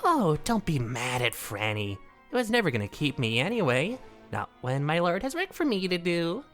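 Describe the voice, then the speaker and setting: A woman plays a manic, clingy jester man from an animated audio drama. The squeaky, sing-song delivery leaps wildly up and down from a low female register, gushing and teasing. Faint music plays underneath. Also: moderate pace. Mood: playful, dismissive.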